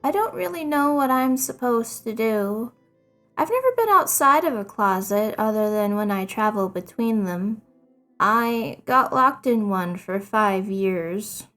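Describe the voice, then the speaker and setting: A woman voicing a timid, gentle young shadow monster boy, with a nervous, hesitant, apologetic manner, in a storybook reading. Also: on the high side, animated, unhurried, soft background music. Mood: unsure.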